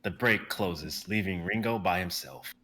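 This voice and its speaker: A man narrating a live dramatic reading in a steady, plain way. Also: low-pitched, unhurried, a little hiss or hum.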